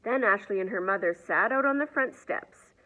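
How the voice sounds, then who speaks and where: A woman reading a children's picture book aloud in an expressive storyteller's manner. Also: mid-range voice, moderate pace, dull audio. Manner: expressive, asks the young listeners questions.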